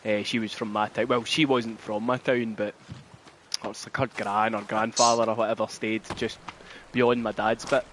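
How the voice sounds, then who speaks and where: A man's voice: lowish voice, flat delivery, normal speed, fairly bright tone, a little hiss or hum. A thirty-year-old Scottish man in a laid-back casual chat, swearing freely and often breaking into laughter. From Scotland.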